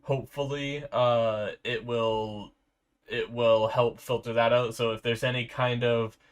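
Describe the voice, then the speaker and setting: A man's voice: medium pitch, level tone, a little slow, clean tone. Male reaction host, sick and congested, sore throat, rambling casual delivery with restarts. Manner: rambling, frequent filler restarts. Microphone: desk mic with noise filter.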